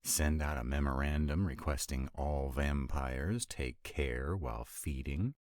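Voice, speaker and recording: Vampire lover, played by a male actor, romantic audio drama: extremely low voice, dry close sound, sardonic, exasperated, affectionate. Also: measured pace, darkish tone, no room sound. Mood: exasperated sarcasm. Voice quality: very deep voice. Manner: sardonic, exasperated, intimate, swooping emphasis. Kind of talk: romantic roleplay audio acting.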